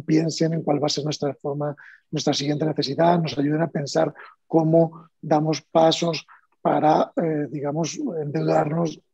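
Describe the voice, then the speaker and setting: A man's voice: on the high side, vocal fry, mellow, slightly rough, no room sound, muffled recording. A man from Spain with a Spanish accent, speaking as a panelist in a panel discussion in a conversational way, with fillers and restarts.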